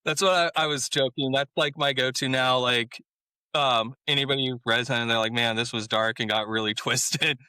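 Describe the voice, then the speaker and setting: A man chatting as a guest in an interview, relaxed and prone to chuckling. His tone is very bright and forward. The recording is dry and close. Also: medium pitch, normal speed, clean tone, dry recording. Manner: laid-back, chuckles often. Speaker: author guest. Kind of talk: casual interview chat.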